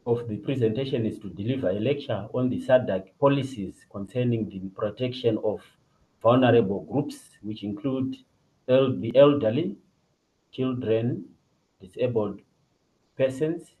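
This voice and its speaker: A man's voice: mid-range voice, narrow, tinny audio. A middle-aged man with a Zimbabwean accent, formally reading a presentation as a police officer over an online call. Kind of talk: formal presentation read from a paper. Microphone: video call.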